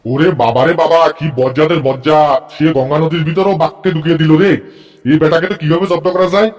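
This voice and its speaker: Exasperated comic schoolmaster, male actor; quick, raised voice pitch, scolding and scheming, music behind. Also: steady tone, lightly acted. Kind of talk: comic cartoon dialogue.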